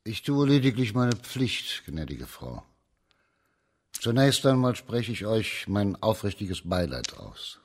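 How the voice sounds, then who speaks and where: An actor playing a composed, authoritative district judge in a German radio drama, questioning in a formal, matter-of-fact way. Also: male voice, lowish voice, very animated, slow pace, darkish tone, heavily acted. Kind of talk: German radio play acting.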